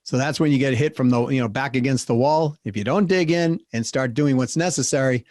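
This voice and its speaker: A man's voice: medium pitch, fairly quick, darkish tone, no echo, clean studio sound. A middle-aged man with a Boston accent hosting a business podcast, upbeat and emphatic, speeding up in bursts. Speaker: podcast host. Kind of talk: interview hosting and wrap-up.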